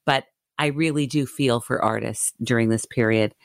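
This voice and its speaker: A middle-aged woman hosting an interview show, asking long set-up questions in a warm, gushing way. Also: a bass voice, moderate pace, no echo, clean studio sound. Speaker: radio show host.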